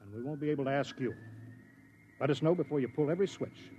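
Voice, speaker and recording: An actor playing an earnest mission controller in a 1950s radio drama, giving clipped, brisk instructions to a test pilot. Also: male voice, medium pitch, animated, dark tone, a little hiss or hum, close to an everyday voice. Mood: firm. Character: earnest male mission controller, protective and anxious. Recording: dull 1950s radio broadcast.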